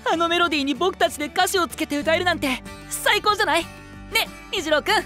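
A man's voice: extremely high pitch, fairly quick, bright, forceful tone, faint music behind. An actor playing a cheerful, childlike young male band vocalist in an anime voice drama, with a bouncy, eager manner. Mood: excited. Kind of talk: Japanese anime voice drama acting.

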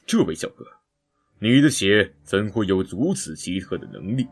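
A gruff middle-aged uncle, voiced by a man, blunt and foul-mouthed, with a lowish voice. Faint music sits behind him in this audio drama. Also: lively intonation, moderate pace. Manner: blunt and cursing.

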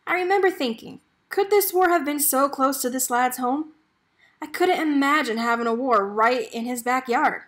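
A woman with a fairly high voice reads a picture book aloud. She narrates in an expressive storytelling style. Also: moderate pace.

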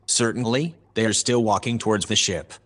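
An actor playing a composed analyst who reports events in a measured, formal way in a sci-fi audio drama. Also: male voice, lowish voice, a bit bright, lightly acted. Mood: composed, observant. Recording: clear background noise and ambience.

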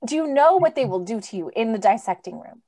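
Woman playing a high-voiced, earnest idealistic lady. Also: lively intonation, quick pace, dark tone, natural voice. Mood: pleading, outraged. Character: idealistic, indignant young lady visitor. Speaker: actor. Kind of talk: old-time radio drama acting. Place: indoors on a video call.

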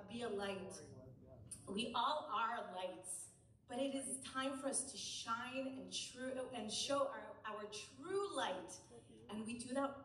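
A woman cantor with an Israeli accent, whose first language is Hebrew, introduces a song from the stage of a large hall full of people, speaking in a halting way with many fillers. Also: fairly high pitch. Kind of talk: live concert stage introduction. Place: large hall with a seated audience. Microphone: far camera at the back of the hall. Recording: echoey hall heard from far off.

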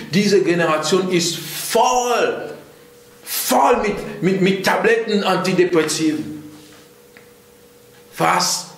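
Urgent high-voiced preacher, echoey room. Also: male voice. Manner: urgent, repetitive exhortation with rising pleas. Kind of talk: sermon.

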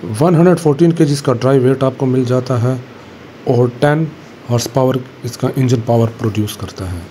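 A man's voice: medium pitch, mellow, slight background noise. A young man giving a casual walkaround review of a motorbike, talking plainly with some fillers. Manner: plain, matter-of-fact, pauses with fillers. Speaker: motorbike vlogger. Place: indoor motorbike showroom.

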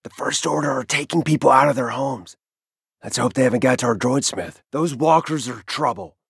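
A man's voice: medium pitch, normal speed, gravelly, fairly bright tone, breathy edge, dry recording, clean studio sound, full character voice. An actor voicing a cocky, wisecracking young male starfighter pilot in a video game, with urgent delivery and wry asides. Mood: hushed, focused. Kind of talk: video game battle and mission barks.

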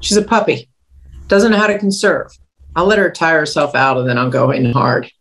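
A woman's voice: sits low, lively intonation, moderate pace. A woman playing a proud, guarded veteran Muay Thai fighter at a script reading. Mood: defiant. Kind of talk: screenplay table read acting.

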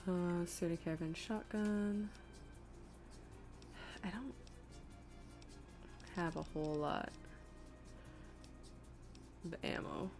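A woman with a slightly low voice gives casual running commentary on a video game she is streaming. She thinks aloud in a chatty way, half to herself and half to viewers. Faint music plays behind her. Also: slow pace, pauses often, darkish tone. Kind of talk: casual live gameplay commentary.

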